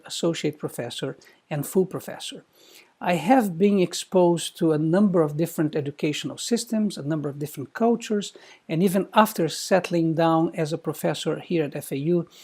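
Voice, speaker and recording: Mellow middle-aged man. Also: a little high. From Brazil. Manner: measured, formal, articulate. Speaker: university professor, guest speaker. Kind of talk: prepared recorded talk.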